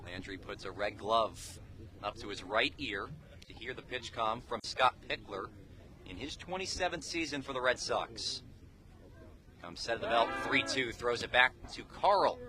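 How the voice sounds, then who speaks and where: A man's voice: mid-range voice, measured pace, pushed and bright, low-quality recording. A man calling live baseball play-by-play for radio in clipped, telegraphic phrases. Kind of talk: live sports commentary. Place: outdoors at a baseball game.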